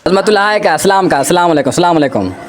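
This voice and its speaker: A man vlogging casually outdoors in the countryside, slightly hoarse from a sore throat, chatty and excited. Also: high-pitched, very fast, a crowd in the background. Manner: chatty, excited, calls out to people. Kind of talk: casual vlog narration.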